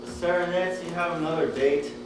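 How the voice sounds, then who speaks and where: A man's voice: on the high side, fairly even intonation, fast talker, background noise, close to an everyday voice. A man playing a tired, hungry, grumpy husband in a stage comedy, speaking in blunt, terse complaints. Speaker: actor in a student one-act play. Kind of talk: live stage comedy acting. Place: theatre stage, distant microphone. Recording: very echoey, distant stage recording.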